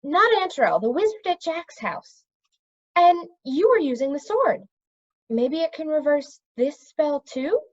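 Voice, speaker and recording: A woman's voice: high-pitched, big pitch swings, a little slow, lo-fi sound, voice pushed up. A woman voicing an anxious, impatient young female storybook character with an urgent, questioning manner.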